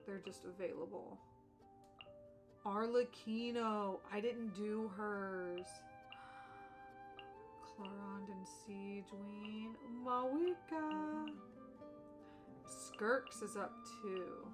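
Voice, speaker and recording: Chatty woman streamer over clear background music. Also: medium pitch, fairly even intonation, very slow, pauses often. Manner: chatty, opinionated, slangy. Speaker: streamer, content creator. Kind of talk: casual livestream gaming chat.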